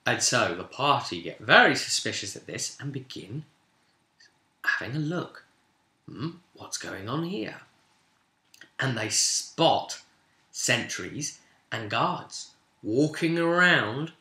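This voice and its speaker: A man with a bright, forceful voice of medium pitch recaps a tabletop fantasy campaign as game master. He tells it informally and with animation, slipping in casual asides. The recording has an echoey room sound. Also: expressive, takes it slowly, pauses often.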